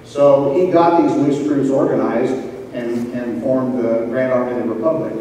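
An older man from a small town giving an informal local history talk in a relaxed, halting way with many fillers. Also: mid-range voice, a little hiss or hum. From Wellington, Kansas. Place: large school auditorium. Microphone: handheld mic, recorded from a far camera. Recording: echoey hall from far off.

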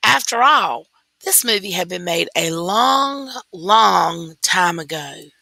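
A woman teacher reading a story aloud slowly and deliberately into a computer microphone. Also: fairly low pitch, expressive, gravelly, pushed and bright, dry recording. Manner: slow, deliberate read-aloud.

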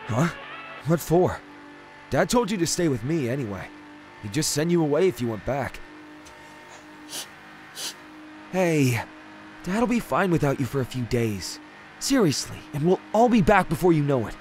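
A man's voice: on the high side, big pitch swings, normal speed, a little mellow, faint music behind. A man playing a sullen young prince in a fan audio drama, offhand and sarcastic. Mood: casual, reassuring.

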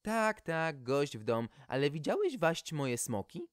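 A man's voice: fairly high pitch, sing-song, unhurried, no echo, studio quality, voice pushed up. A man voicing a doting, hot-tempered young maiden in a storybook tale. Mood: impatient. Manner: cooing, wailing and scolding. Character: doting, shrill young maiden raging over her dragons.

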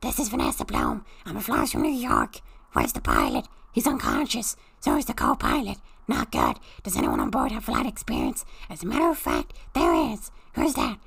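Small cartoon mushroom creature, excitable, very hoarse and croaky, wildly swooping pitch, theatrical parody reading. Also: female voice, normal speed, dry recording, heavily acted. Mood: loud, agitated. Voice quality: raspy, strained, breaking into gravel and whisper. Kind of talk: parody reading of a film script.

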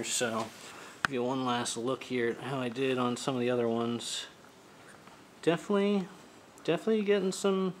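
A man giving a casual, halting, self-deprecating hands-on demonstration as a hobbyist vlogger. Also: mid-range voice. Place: garage workshop. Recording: clear ambient workshop noise.

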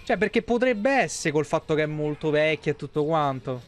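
A man's voice: a little high, expressive, brisk, soft background music. A man streams a game. He chats casually and playfully, full of slang and mock exclamations, into a desk mic in a small room. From Italy. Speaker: game livestreamer. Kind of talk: casual live game commentary.

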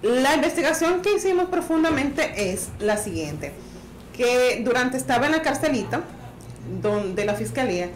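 A woman in her thirties comments on a studio talk panel with a slightly high voice. She talks haltingly, repeating and restarting phrases. The studio sounds roomy, with a faint hiss behind her. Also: sing-song. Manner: hesitant, repeats words and restarts. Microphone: boom studio mic.

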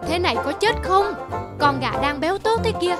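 A grasping old rice seller from a cartoon folktale, voiced by a woman, gloating and shrill. The voice sits high and thin, and loud music plays under it throughout. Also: lively intonation, normal speed. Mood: outraged. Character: greedy stingy old male rice merchant. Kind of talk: cartoon voice acting.

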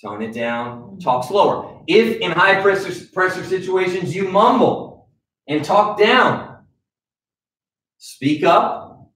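A man's voice: sits high, takes it slowly. Man coaching a room, echoey and distant. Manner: direct, animated coaching with frequent "okay?" check-ins. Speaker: sales trainer. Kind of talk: live training session. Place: conference room with a wall screen. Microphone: far camera.

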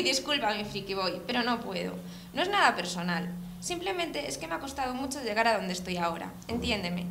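Woman playing creaky-voiced snooty cheerleader. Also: fairly high pitch. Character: popular, condescending teenage cheerleader girl. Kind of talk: Spanish radio serial acting. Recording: echoey, noisy room.

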